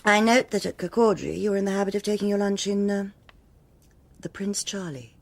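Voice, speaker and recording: An actress playing a cool, exacting police superintendent in a radio drama, with a formal, cutting, authoritative manner. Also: female voice, mid-range voice, fairly quick, creaky. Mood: probing, disapproving.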